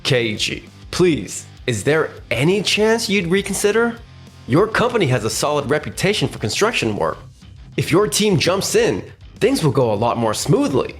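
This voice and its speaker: A man's voice: on the high side, big pitch swings, normal speed, soft background music. A man playing an earnest young businessman in a romcom manga dub, with explanatory scripted delivery. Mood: pleading.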